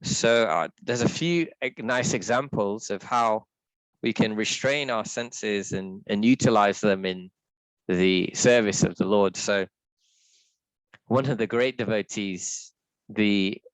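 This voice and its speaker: A man with a deep voice leading a reflective scripture reading, talking in a thoughtful, halting way with restarts. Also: no echo, low-quality recording. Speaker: scripture class leader.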